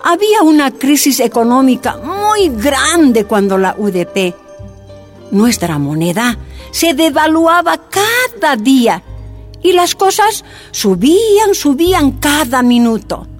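A woman's voice: high-pitched, very animated, takes it slowly, faint music behind, heavily acted. An actress playing a plainspoken Bolivian miner's wife and mother, giving first-person testimony in a radio drama. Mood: sorrowful recollection. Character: miner's wife recalling hardship, mother of four.

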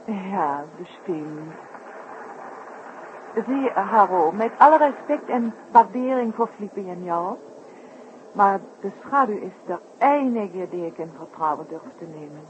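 A woman's voice: mid-range voice, lively intonation, unhurried, lightly acted. A woman playing a secretive, wary trapeze artist in a 1960s radio drama, speaking in formal, guarded phrases. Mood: guarded, confiding. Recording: muffled, noisy 1960s radio recording.

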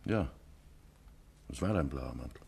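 A formal, deferential policeman in an old radio play, reporting in a sober, matter-of-fact way. His voice is extremely low, with a deep and heavy bottom. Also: lively intonation, measured pace, vocal fry, darkish tone, husky, heavily acted. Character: formal male police inspector. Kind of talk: 1950s radio play acting.

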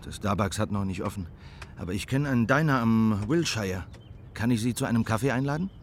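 Down-on-his-luck karate master, male actor, lowish voice, deferential and earnest, naturalistic radio drama with faint background noise. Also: expressive, brisk, lightly acted. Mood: sheepish. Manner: polite, earnest.

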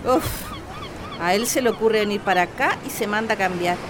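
An actress playing a fussy, complaining wife in a radio drama, with a Chilean accent and an exasperated, grumbling manner. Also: female voice, mid-range voice, fairly quick, close to an everyday voice. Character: fussy, complaining, easily worried well-to-do wife Inés. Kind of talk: Spanish-language radio drama acting. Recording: noisy outdoor ambience with bird calls.

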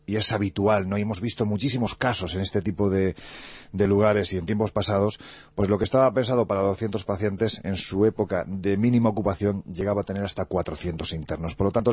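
Male radio talker from Andalusia, animated and jokey, quick-paced, dry lo-fi broadcast sound. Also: on the low side, dry recording. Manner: animated, jokey, frequent fillers. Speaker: radio contributor on mysteries. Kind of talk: lively radio chat and storytelling.

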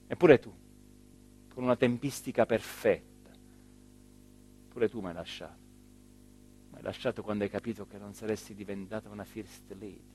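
An actor playing an embittered, abandoned small-town mayor in a theatrical monologue, with a southern Italian accent, moving emotionally between low murmurs and loud declaiming. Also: male voice, steady tone, moderate pace, pauses often, slight background noise, natural voice, poor audio. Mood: hurt, bitter. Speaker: stage actor.

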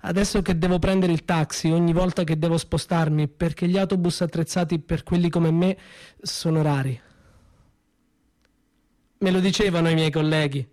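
Actor as a sardonic, high-voiced injured workman. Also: a little mellow, close to an everyday voice. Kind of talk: theatrical monologue in a radio play.

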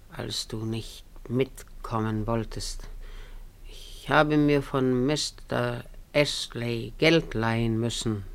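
An actress playing an imperious, blunt old Russian matriarch with a gambling streak in a German radio play, brusque and commanding. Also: female voice, rumbling low, heavily acted. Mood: exhausted, defeated.

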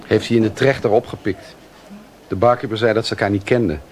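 A man's voice: on the low side, steady tone, quick pace, a little hiss or hum, lightly acted. An actor playing a grumbling, dryly joking male police detective in a naturalistic radio drama. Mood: dry, matter-of-fact. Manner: dry, sarcastic.

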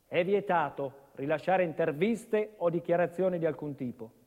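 A man's voice: a little high, moderate pace, a little mellow, muffled recording, close to an everyday voice. An actor playing a cold, officious male witness protection officer in a stage drama, formal and detached. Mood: officious, stern. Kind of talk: stage monologue drama, official reading rules. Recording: long hall-like reverb.

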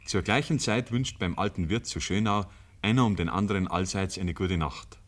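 A man with a Bavarian accent narrating a 1950s radio play in measured, rustic storytelling. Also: sits low, natural voice. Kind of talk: scripted literary radio narration. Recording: noisy old 1950s radio recording.

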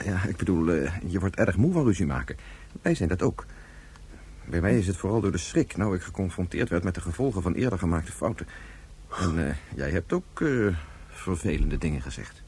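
A man's voice: low voice, expressive, moderate pace, a little mellow, breathy edge, full character voice. An actor playing an earnest, stiffly rational father, hesitant and formal, in a radio play. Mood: earnest, uneasy. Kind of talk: Dutch radio play acting.

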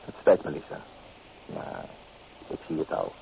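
An actor playing a teasing, free-spirited young painter in an old Dutch radio play, with theatrical delivery. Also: male voice, a little slow, natural voice. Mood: apologetic, gallant. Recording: muffled, noisy old radio recording.

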